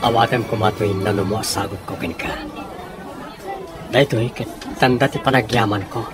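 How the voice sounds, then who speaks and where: A man's voice: fairly low pitch, normal speed, over loud music, a loud crowd, natural voice. A man acting as a generous, courting benefactor in a radio drama, speaking earnestly and persuasively. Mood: earnest, grateful. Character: courting, generous male benefactor of a young woman.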